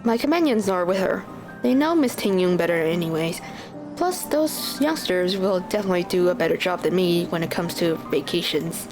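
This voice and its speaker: A woman's voice: on the low side, animated, normal speed, poor audio. A woman voicing a composed, thoughtful space traveller as she reads video game dialogue aloud. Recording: game music behind.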